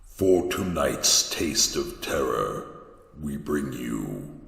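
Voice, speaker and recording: Very deep, gravelly horror host. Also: male voice, sing-song, extremely slow, fairly bright tone, heavily acted. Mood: ominous, slow. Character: sinister horror anthology host telling bedtime tales. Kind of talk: slow, scripted horror radio introduction. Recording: cavernous long reverb.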